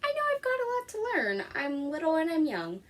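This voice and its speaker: A woman's voice: sits high, brisk, pitched above the performer's own voice, full character voice. A woman reading a storybook expressively as an anxious, literal-minded little boy. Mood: fretful. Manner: expressive storybook read-aloud.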